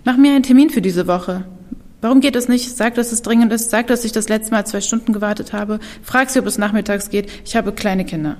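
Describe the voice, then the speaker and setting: A woman's voice: a little high, darkish tone, close to an everyday voice. A woman voicing her insistent, anxious middle-aged immigrant mother, firing off urgent requests in a reverberant hall. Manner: urgent, demanding, rapid requests.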